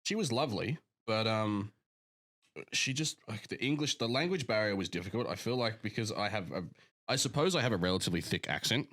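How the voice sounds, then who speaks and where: Deep-voiced laid-back Australian man. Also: expressive, a little fast, fairly bright tone, no room sound, clean studio sound. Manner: laid-back, sweary, rambling. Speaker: physique coach, podcast co-host. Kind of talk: casual podcast chat.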